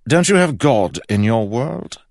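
Man playing a pompous king, pitched up, swooping. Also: huge pitch swings, slow, no echo, studio quality, full character voice. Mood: solemn, surprised. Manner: scornful, imperious questioning. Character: pompous, pious, indignant king. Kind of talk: audiobook character acting.

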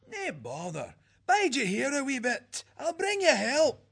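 A man voicing a shrill young male falcon with a Scottish accent and a squawking, drawn-out way of talking. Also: wildly swooping pitch, fairly bright tone, heavily acted. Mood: eager. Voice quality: shrill, gravelly.

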